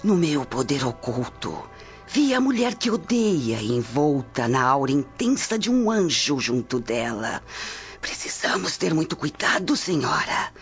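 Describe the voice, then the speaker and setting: Music plays under a dull radio drama recording of an old Roman sorceress. She is formal and ominous, delivering oracular warnings with an animated, swinging pitch. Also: unhurried. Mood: solemn, ominous.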